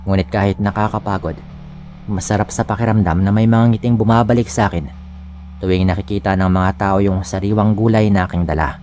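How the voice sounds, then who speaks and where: A man's voice: on the low side, steady tone, brisk, a little mellow, a little hiss or hum. A man with a Filipino accent giving a scripted first-person narration of a scary story. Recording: music bed under the voice. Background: background music.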